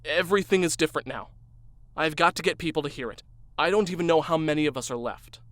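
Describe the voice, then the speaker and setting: A man's voice: on the high side, quick pace, fairly bright tone, no echo, lightly acted. An actor playing an obsessed young man who sounds tired and withdrawn. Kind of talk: audio drama dialogue.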